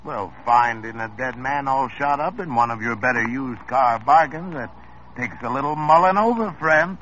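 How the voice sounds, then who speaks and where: An actor playing a smooth-talking used car dealer with folksy sales patter. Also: male voice, medium pitch, moderate pace, a bit bright, natural voice. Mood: salesy. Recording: muffled old radio recording with faint hiss.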